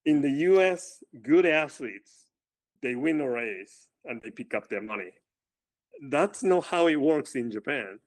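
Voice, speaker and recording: A man with a loud voice, a running coach as guest, talking casually and often restarting with repeated words. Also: medium pitch, lively intonation. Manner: halting, repeats words while thinking. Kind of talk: casual interview chat.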